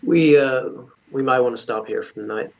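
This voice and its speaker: Through a muffled, low-fidelity line comes a man acting a young romantic hero of a fantasy drama. He is protective and earnest, pleading in halting phrases at an ordinary male pitch. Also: vocal fry, close to an everyday voice. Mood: gentle. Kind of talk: dramatic table read acting.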